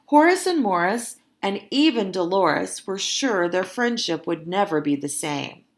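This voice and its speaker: A woman who works as a teacher, reading a children's story aloud expressively and adding simple, deliberate explanations. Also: mid-range voice, animated.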